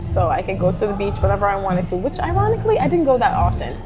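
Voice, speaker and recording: A young woman from Jamaica, a guest in a casual sit-down conversation, telling stories in an animated way. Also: medium pitch, fast, over music.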